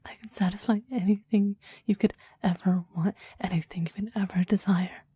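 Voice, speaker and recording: A woman voicing a possessive, devoted demon in an intimate ASMR roleplay, speaking in soft breathy whispers with a formal, intense, adoring manner. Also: mid-range voice, steady tone, normal speed. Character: possessive, devoted clingy demon bound to a summoner.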